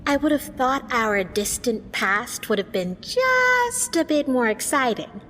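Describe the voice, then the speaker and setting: A woman's voice: high-pitched, very animated, unhurried, sharp tone, slight background noise, full character voice. A woman playing a brash, crude, tomboyish young woman in an audio drama, blunt and cheeky. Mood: let down.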